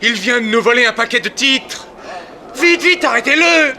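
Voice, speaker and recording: A flustered clerk in a radio crime play. His high voice leaps about as he stammers and stubbornly insists, with a busy crowd behind him. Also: expressive, normal speed. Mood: agitated. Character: flustered, excitable, stubborn male office clerk. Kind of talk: French radio crime drama acting.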